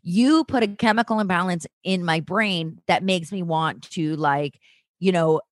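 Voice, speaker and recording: A woman's voice: on the low side, unhurried, no room sound. A woman actress as a podcast guest, telling stories in casual chat, laughing often and swearing freely.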